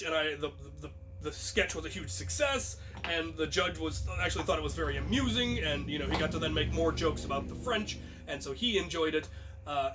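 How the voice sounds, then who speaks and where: A man telling a personal anecdote casually, in an animated storyteller's way. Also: fairly high pitch, a little hiss or hum. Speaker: storyteller, host of a story series.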